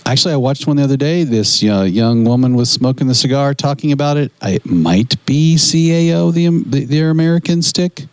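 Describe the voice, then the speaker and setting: A man's voice: mid-range voice, lively intonation, brisk, hardly any pauses, clear, resonant tone, no echo, poor audio. A man co-hosting a podcast in casual, conversational talk. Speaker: podcast co-host. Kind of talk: casual chat about cigars.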